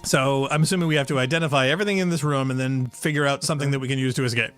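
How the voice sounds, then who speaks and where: Male let's player, mid-range voice, casual game commentary over soft game music. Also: mid-range voice, steady tone, racing, smooth.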